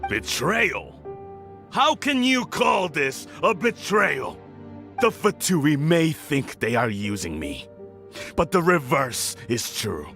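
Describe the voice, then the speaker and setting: An actor playing a proud, scheming older clan lord in a video game drama, speaking in a formal, haughty, theatrical manner. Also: male voice, a little high, big pitch swings, slow, gravelly, bright, forceful tone, faint music behind, heavily acted. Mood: grandiose.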